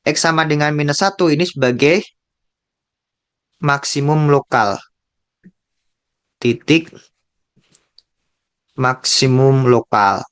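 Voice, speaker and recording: A man with an Indonesian accent tutoring calculus, working slowly through a graph problem, repeating key terms and thinking aloud. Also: on the high side, a bit bright, clear, resonant tone. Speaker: math tutor.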